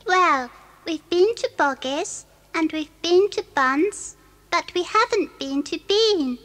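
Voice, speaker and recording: A woman playing an excited, inquisitive young fox cub in a children's audio drama, keen and deliberate. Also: very high-pitched, full character voice. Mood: excited, curious.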